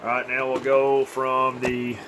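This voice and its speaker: A man's voice: medium pitch, monotone, a little slow, noisy background. A man giving an informal, hands-on update as a radio repair technician, with plain, folksy phrasing. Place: indoors at a repair workbench.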